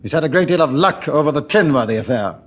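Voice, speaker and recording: An actor playing an irritable, pompous senior British police chief in a brusque, impatient manner, in 1940s radio drama style. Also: male voice, on the high side, expressive, fast talker, lo-fi sound. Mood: irritated.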